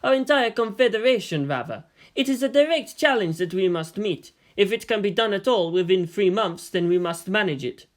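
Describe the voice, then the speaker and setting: A man voicing a calm, earnest ambassador in a story reading, with a formal, diplomatic manner. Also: high voice, normal speed, hardly any pauses. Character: deferential, persuasive male ambassador, calm and earnest.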